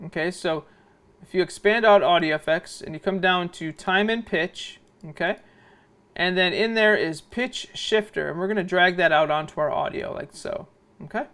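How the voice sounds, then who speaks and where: A man with a noticeably high, smooth and clear voice narrates a software tutorial. He explains informally, step by step. He often tags his points with little checks for understanding. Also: normal speed. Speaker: video editing instructor.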